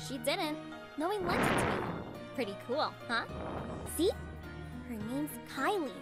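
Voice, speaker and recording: A woman's voice: sits high, animated, a little slow. A woman voicing a playful, mischievous little sister in a visual novel game, eager and teasing. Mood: proud, coaxing. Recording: music playing behind.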